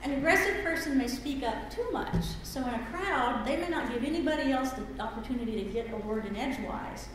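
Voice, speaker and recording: A woman's voice: sits high, animated, brisk, hardly any pauses. A woman speaking at a women's seminar, teaching in an engaging, anecdotal way with self-deprecating humor. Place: seminar meeting room. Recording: very echoey room with background noise.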